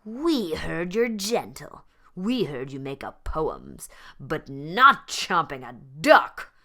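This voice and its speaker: A woman voicing fierce, bullying lions in a storybook, with a growling, forceful, drawn-out delivery. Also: sits low, huge pitch swings, full character voice. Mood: demanding.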